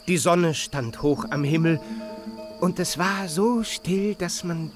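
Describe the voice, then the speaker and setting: An actor playing a gentle, modest animal friend who tells a story in a children's radio play. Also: male voice, on the high side, slow pace, over music. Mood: gentle storytelling.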